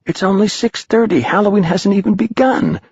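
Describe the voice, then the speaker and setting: Male reader as an excited lad shouting across the dark, raspy under the pitch. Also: fairly quick, dry recording, muffled recording. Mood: urgent. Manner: high, urgent calls. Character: young boy, eager and urgent.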